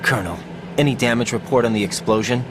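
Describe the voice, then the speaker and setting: A man's voice: medium pitch, quick pace, noisy background, lightly acted. An actor playing an earnest young male rookie special-forces operative in a video game, asking many questions. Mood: tense. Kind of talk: video game cutscene voice acting. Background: game score music.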